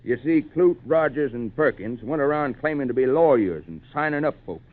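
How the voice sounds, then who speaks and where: Thin, narrow-band vintage radio audio of a man playing a knowing country doctor. He speaks at a middle pitch, measured and grave, like a cautioning friend in a 1940s radio drama. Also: lively intonation, lightly acted. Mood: grave, explaining.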